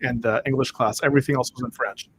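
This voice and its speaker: A man's voice: mid-range voice, steady tone, fast talker, creaky, slight background noise. A man from Canada, a guest on a livestream, explaining things in a plain, casual way. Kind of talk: casual chat.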